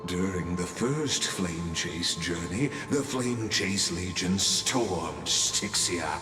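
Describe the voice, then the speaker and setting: A taunting, theatrical villain from a video game, narrating doom with pompous relish. The voice is low and extremely unhurried, ringing in an echoey hall over music. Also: male voice, expressive. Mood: grim irony. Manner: pompous, mocking, drawn-out delivery. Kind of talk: dramatic scripted game monologue.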